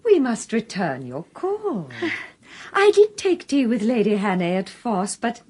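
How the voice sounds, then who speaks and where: A genteel lady plotter for radio, husky and expressive. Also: a little high, unhurried, heavily acted. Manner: genteel and anxious. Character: refined, nervous woman conspirator. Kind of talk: radio drama acting.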